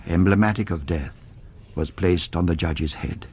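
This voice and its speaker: Deep, solemn narrator. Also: male voice, normal speed, darkish tone, breathy edge. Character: solemn male narrator of a Victorian trial account. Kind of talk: dramatic scripted narration.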